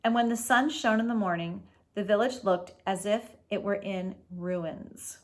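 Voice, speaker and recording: A woman's voice: medium pitch, a little slow. A woman hosting a read-aloud, telling a story expressively and stepping out for casual explanations to young listeners.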